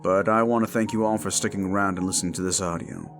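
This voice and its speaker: A man's voice: low-pitched, fairly even intonation, fast talker, faint music behind. A man hosting his own audio channel, talking casually and directly to his listeners in an outro. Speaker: audio roleplay creator and host.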